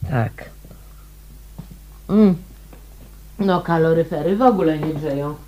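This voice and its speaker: An actress playing a polite woman newly moved in, in a radio drama, unhurried and often repeating words as if thinking aloud. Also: deep voice, muffled recording, slight background noise. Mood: puzzled.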